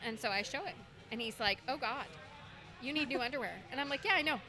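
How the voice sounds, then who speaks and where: A woman's voice: high voice, fast, low-quality recording. A middle-aged woman co-hosting a casual chat, candid and chatty, full of fillers. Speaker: podcast co-host.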